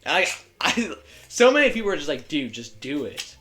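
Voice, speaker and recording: A man's voice: on the high side, big pitch swings, normal speed, fairly bright tone, faint music behind. A young man co-hosting a casual podcast, rambling and stumbling over words, swearing freely. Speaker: podcast co-host. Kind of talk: casual chat.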